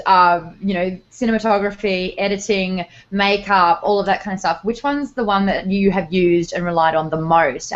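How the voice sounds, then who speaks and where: A woman hosting a live panel interview, upbeat and playful as she reads out audience questions. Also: on the low side. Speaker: interview host. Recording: slightly muffled audio.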